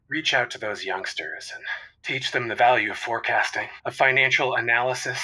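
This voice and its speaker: A man plays a smug venture capitalist with a heart, in a very bright, forceful voice. He rhapsodizes with great self-importance, like a radio comedy caller. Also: medium pitch, husky, exaggerated voice, low-quality recording. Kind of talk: comedic call-in radio drama.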